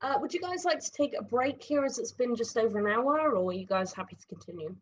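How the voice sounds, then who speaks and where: A woman running a tabletop game as game master, narrating casually in halting, improvised, informal descriptions. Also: a little high, lively intonation, a little hiss or hum. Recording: dull lo-fi audio.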